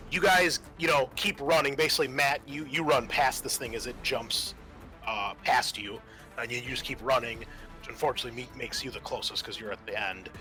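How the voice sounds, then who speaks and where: Man, bright forceful voice, game master, tabletop narration, halting and improvised, lots of filler words. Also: medium pitch.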